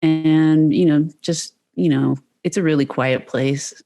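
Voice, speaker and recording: A woman from Pennsylvania, a guest on a podcast, chatting in an easygoing, soft-spoken way. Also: sits low, steady tone, unhurried. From Pennsylvania, United States. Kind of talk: casual interview chat.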